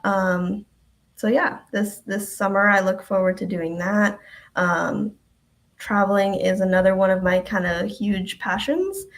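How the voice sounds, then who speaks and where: Chatty young woman with gravelly fry. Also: medium pitch, fairly even intonation, measured pace. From Ecuador. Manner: chatty, many ums and likes. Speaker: guest, health department coordinator. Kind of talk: casual live-stream chat.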